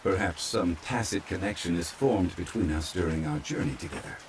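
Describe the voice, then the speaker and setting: A man playing a calm, ancient, scholarly immortal in human form, speaking formally and with measured courtesy in a soft ASMR roleplay. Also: sits low, a little hiss or hum. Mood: calm, musing. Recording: steady rain sound laid behind voice. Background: rain falling.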